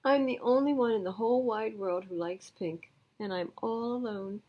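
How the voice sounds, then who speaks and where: A woman voicing a dramatic, self-pitying young schoolgirl who loves pink, in an expressive storybook reading. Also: on the high side, normal speed, lo-fi sound, pitched above the performer's own voice, close to an everyday voice. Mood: sad, defiant.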